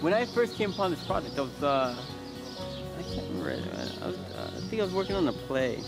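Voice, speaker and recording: A man, an actor, giving a casual on-camera interview in a halting, rambling way, as if thinking aloud. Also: on the high side, very animated, loud music behind the voice. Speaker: actor in a behind-the-scenes interview.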